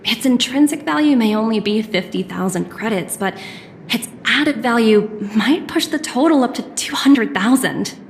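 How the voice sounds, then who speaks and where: An actress playing a poised, cultured young corporate executive in a video game, speaking politely and in measured, articulate phrases. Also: female voice, on the high side, lively intonation, creaky, a little hiss or hum. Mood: reflective, cultured.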